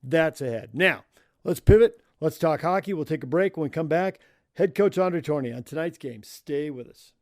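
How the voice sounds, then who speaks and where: A male sports radio host with a somewhat high voice and a very dry, close sound. He hands off segments in short, punchy phrases. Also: moderate pace, a little mellow, no echo.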